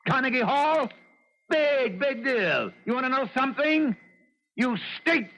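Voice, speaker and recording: An actor playing a bitter, temperamental middle-aged concert pianist and harsh mentor, with a husky voice, in 1970s radio drama style. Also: male voice, very high-pitched, lively intonation, unhurried, fairly bright tone, heavily acted. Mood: scathing. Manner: theatrical, scathing outbursts and weary refusals. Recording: muffled vintage radio drama recording, music behind.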